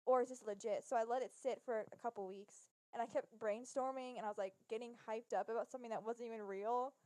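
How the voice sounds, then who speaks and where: Chatty young woman, bright higher voice. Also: steady tone, fast, no room sound. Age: young adult. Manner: chatty, laughs often. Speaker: podcast co-host. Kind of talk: casual chat.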